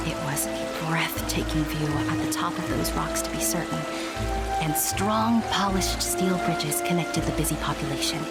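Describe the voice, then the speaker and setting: Lady storyteller, lowish voice, chatty asides, loud music under her. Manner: conversational storyteller asides to the listener. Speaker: narrator. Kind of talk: scripted storybook narration of a fantasy audio drama.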